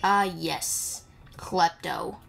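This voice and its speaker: A woman's voice: lowish voice, lively intonation, drawn-out words, creaky, a bit bright, slight background noise. A woman giving casual gameplay commentary, rambling playfully and chatting to the characters on screen. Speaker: gamer playing and commenting. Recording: game music behind the voice. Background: video game music.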